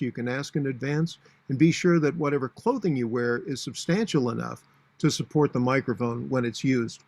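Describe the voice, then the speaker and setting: A booming-voiced man in his sixties gives speaking tips in an instructional talk. His voice sits a little high for a man. The sound is close and dry, and he delivers matter-of-fact advice with plenty of uhs. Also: darkish tone, dry recording. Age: 60s. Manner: practical, matter-of-fact advice with frequent fillers. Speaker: public speaking coach.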